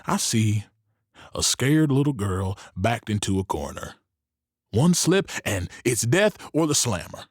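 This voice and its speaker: A man playing a shrewd, protective Old West saloon owner in an audio drama, measured and knowing. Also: medium pitch, very animated, no room sound, clean studio sound. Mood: stern.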